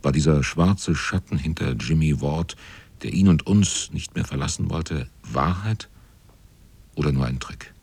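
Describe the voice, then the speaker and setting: A brooding seaman narrating a radio drama. His voice is extremely low and husky in a dry studio sound, and the telling is grave and measured. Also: darkish tone, dry recording, exaggerated voice. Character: brooding sailor narrator looking back on the crew.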